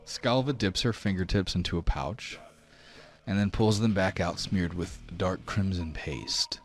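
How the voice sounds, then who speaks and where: A man narrating a tabletop game as game master in a measured, theatrical storytelling manner. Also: sits low. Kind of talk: dramatic scripted tabletop narration.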